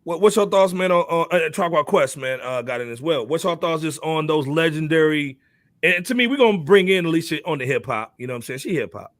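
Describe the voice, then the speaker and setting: Easygoing male podcast host, higher voice, dry close mic. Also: animated, quick pace, a bit bright, no room sound. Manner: laid-back, says "man" after most phrases. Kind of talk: casual podcast chat.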